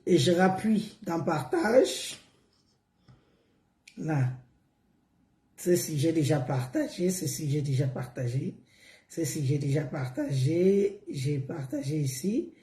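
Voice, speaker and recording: A hoarse woman chatting on a live stream, repeating herself and coaxing viewers along with drawn-out words. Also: deep voice, big pitch swings, warm tone, echoey room. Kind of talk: casual live stream chat.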